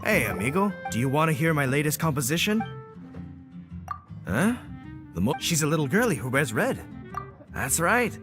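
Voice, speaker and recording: A man's voice: on the high side, very animated, normal speed, pauses often, music in the background. A man playing a breezy, dramatic bard in a video game, telling his tale in a theatrical, confiding way. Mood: eager, dramatic. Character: breezy dramatic male bard, eager storyteller.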